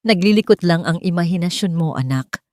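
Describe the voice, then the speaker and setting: Wealthy older mother for audio drama played by a woman, Filipino accent, gentle but icy and controlling, dry sound. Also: lowish voice, lively intonation, brisk, dry recording, natural voice. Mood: cold, dismissive. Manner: gentle surface with veiled coldness.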